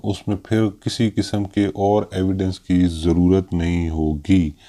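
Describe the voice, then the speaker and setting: A man with a Pakistani accent giving an explanatory legal lecture, haltingly and with frequent hesitations, mixing in English terms. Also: sits low, warm tone. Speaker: law lecturer.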